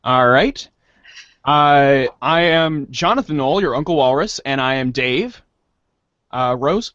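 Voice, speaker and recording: Slow, halting man hosting, slightly dull audio. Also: mid-range voice, animated, fairly bright tone, no room sound. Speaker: host of a live-streamed reader's theater show. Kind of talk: casual, unscripted hosting. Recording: dull, dry lo-fi mic.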